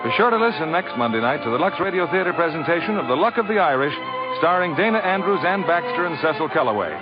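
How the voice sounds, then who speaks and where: A man's voice: fairly high pitch, animated, quick pace. A man working as a 1940s radio announcer, reading scripted copy with a polished sales delivery. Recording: dull 1940s radio, music behind.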